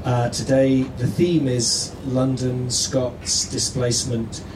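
A man hosting a live stage event, introducing speakers informally with halting, hesitant delivery. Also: mid-range voice, little pitch movement. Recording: distant, echoey, noisy room with audience.

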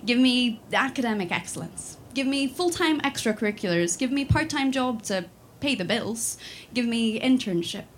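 A woman's voice: a little high, expressive, moderate pace, hardly any pauses, slight background noise. A woman in her twenties from Sweden, talking with many fillers and restarts, then reciting a spoken word poem built on repeated refrains. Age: 20s.

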